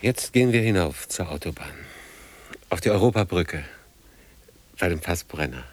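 An actor playing a weary, brooding older man in a radio drama, speaking gravely in halting fragments. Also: deep voice, expressive, dry recording.

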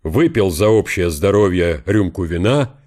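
A man's voice: sits low, expressive, measured pace, darkish tone. A man reading literary narration for an audio drama.

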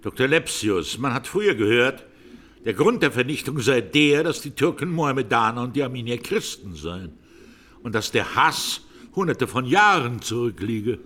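Courtroom judge, mid-pitched, highly animated intonation, formal, probing, radio play. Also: male voice, normal speed. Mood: inquiring. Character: formal presiding judge questioning witnesses in court. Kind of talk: radio drama courtroom dialogue.

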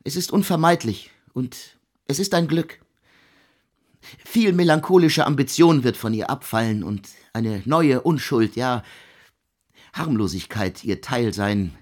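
An actor playing a proud, intellectual German composer in a radio drama, speaking in earnest, eloquent, deliberate phrases. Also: male voice, mid-range voice, lively intonation, a little mellow. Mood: visionary, fervent. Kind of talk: literary radio drama dialogue.

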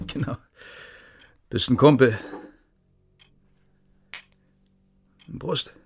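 An actor playing a boozy, distrustful former East German officer in a radio drama, chummy and fragmentary, with a German accent. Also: male voice, mid-range voice, unhurried, gravelly, darkish tone, slight background noise. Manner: chummy, short fragmentary sentences. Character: boozy, maudlin, distrustful former Stasi officer drinking at home.

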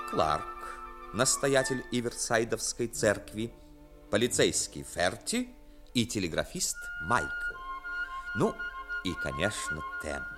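A man narrating a story in a measured, expressive, dramatic style, as narrator of a 1960s radio play. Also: lowish voice, heavily acted. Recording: 1960s radio recording with music.

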